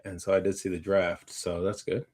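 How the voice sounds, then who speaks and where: A man chatting casually as a podcast co-host, halting and thinking aloud as he rambles along. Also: sits low, flat delivery, a little mellow. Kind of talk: casual unscripted chat.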